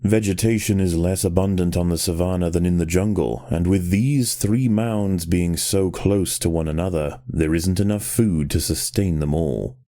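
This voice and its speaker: A man giving a scripted nature documentary narration for a video game in a measured, solemn and dramatic manner. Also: low voice, dark tone, no echo, studio quality.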